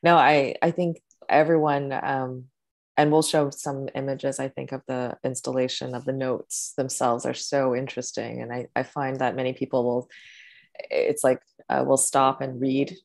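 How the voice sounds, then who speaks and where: A woman's voice: rumbling low, level tone, normal speed, creaky, darkish tone. A woman interviewing an artist on a video call, encouraging and curious, with many small affirmations. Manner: encouraging, frequent backchannel. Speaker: museum interviewer.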